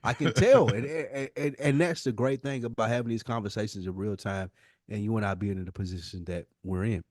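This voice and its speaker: A man's voice: fairly low pitch, brisk, a little mellow, no room sound, studio quality. An American man co-hosting a podcast in a candid heart-to-heart, speaking earnestly and emphatically and repeating himself to make his point. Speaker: podcast co-host.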